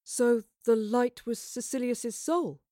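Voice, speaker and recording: A woman's voice: fairly high pitch, slow, warm tone, no room sound, clean studio sound, pitched above the performer's own voice. Woman voicing an earnest young male soldier. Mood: baffled. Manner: earnest, searching.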